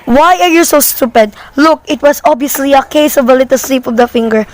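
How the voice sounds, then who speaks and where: Woman acting high-pitched, anxious, demanding housewife. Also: natural voice, rough recording. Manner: agitated, imperious, pleading. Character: anxious, demanding, sickly wife alone at home. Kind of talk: amateur radio play acting.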